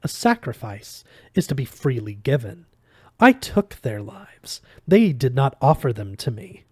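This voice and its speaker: A man's voice: mid-range voice, big pitch swings, darkish tone, breathy edge, no room sound, studio quality. A man voicing a cruel, dismissive fox god in an audiobook, speaking formally and measuredly with a French accent. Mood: indignant, reasoning. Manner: measured, condescending, formal phrasing.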